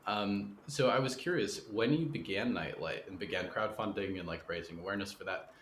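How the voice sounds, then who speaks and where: Low-voiced man interviewing in an echoey room. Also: brisk, fairly bright tone. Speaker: podcast interviewer, host. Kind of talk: conversational interview questioning. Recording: roomy echo, faint background noise.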